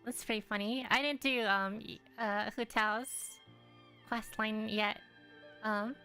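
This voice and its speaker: A young woman streams a game with casual, reactive commentary. Her voice is fairly high and very bright, with faint game music underneath. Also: fairly even intonation, unhurried. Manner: informal, reactive.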